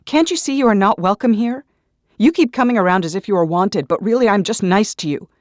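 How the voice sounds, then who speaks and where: A man's voice: high voice, brisk, no echo, clean studio sound, voice pushed up, lightly acted. A man voicing an evasive, irritable addicted woman with an explosive temper in a dramatic audio story. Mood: furious.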